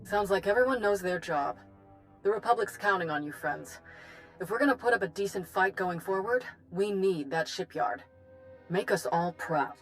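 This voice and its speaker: An actress playing a wry, direct, folksy head of state in a video game. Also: female voice, medium pitch, normal speed, faint music behind, lightly acted, low-quality recording. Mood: resolute. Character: plain-spoken, wry female head of state, ex-pilot.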